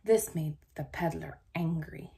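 A young woman reading a children's storybook aloud with expressive, deliberate storytelling. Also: low voice, creaky, a little hiss or hum. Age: young adult. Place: small room at home, indoors.

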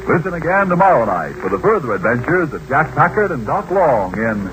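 A male announcer on old radio with a brisk delivery that swings up and down in pitch. Clear hiss sits behind him. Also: big pitch swings, fairly bright tone, poor audio.

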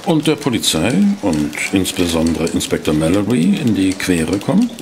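Inquisitive, well-mannered reporter for radio play: lowish register, animated melody, formal delivery. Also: male voice, noisy background. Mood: concerned. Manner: polite, formal, measured. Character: inquisitive, courteous male newspaper reporter and narrator. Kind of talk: German audio drama acting and first-person narration.

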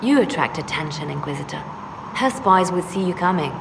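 An actress playing a hooded female spymaster in a fantasy video game, speaking in a measured, persuasive way. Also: on the low side, expressive, gravelly, big-room sound, background noise. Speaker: advisor.

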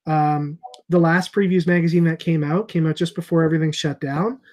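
Rambling bearded comic shop host. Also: male voice, a little high, fairly even intonation, brisk, a little mellow. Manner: rambling, thinks aloud with many ums. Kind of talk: casual video call chat. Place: small room with shelves of comics behind. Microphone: earbud headset on a video call.

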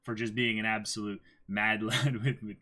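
A man's voice: fairly low pitch, level tone, normal speed, pushed and bright. A man in his twenties co-hosting a livestream, chatting casually and playfully, with exclamations as he reacts and reads out comments. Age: 20s. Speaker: co-host of a livestream. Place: small indoor room, seated before a backdrop.

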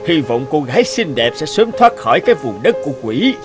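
A jovial, flirtatious ogre from a cartoon fairy tale, played by a man. He is reassuring and helpful, very animated, with faint music behind. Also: a little high, brisk.

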